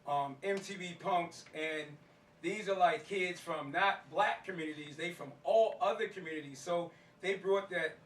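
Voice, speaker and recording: A man's voice: high-pitched, moderate pace, fairly bright tone, reverberant. American man, informal slangy speaker, repeats for emphasis, distant mic, faint background noise. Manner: colloquial urban slang, repeats phrases for emphasis. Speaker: martial arts teacher and performer. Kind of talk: informal talk to a live audience.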